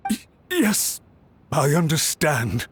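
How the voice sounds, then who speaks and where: A man playing an anxious, servile official in a video game, pleading formally in a halting, deferential way. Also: a little high, huge pitch swings, slight background noise, full character voice. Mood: cowed, deferential. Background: music.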